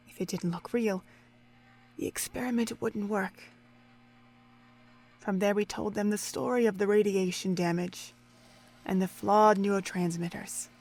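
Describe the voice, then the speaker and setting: A woman acts out a cold female pony overseer in a radio play. She speaks with deliberate, theatrical contempt, in a mid-pitched voice. Her scorn swells into intensity and settles back into measured menace. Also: a little mellow. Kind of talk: dramatic audio drama acting.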